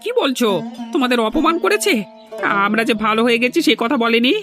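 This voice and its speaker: Man voicing an excitable high-pitched cartoon village thief. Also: fairly quick, music in the background. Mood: agitated. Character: reformed village thief, excitable, aggrieved husband. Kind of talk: Bengali cartoon voice acting.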